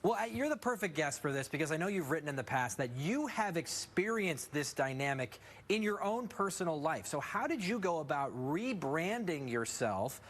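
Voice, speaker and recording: A man hosting a TV interview, reading a scripted intro and asking questions in a polished, measured way. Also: a little high, sing-song, fairly bright tone. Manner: polished, measured anchor delivery.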